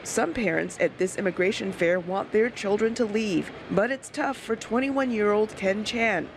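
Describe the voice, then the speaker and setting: A woman reporter reading scripted news narration in a measured, even delivery. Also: medium pitch, slight background noise.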